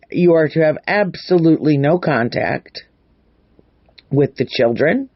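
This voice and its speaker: A man's voice: a little high, dry recording. A man hosting a true crime vlog, giving casual, opinionated news commentary and repeating words for emphasis. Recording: dull, muffled lo-fi audio.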